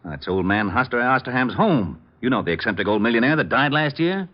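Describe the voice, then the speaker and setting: An actor playing a snappish, impatient police lieutenant in a 1940s radio detective drama. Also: male voice, medium pitch, lively intonation, fairly quick, lightly acted. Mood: matter-of-fact. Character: gruff, short-tempered male police lieutenant. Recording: muffled, slightly noisy old radio recording.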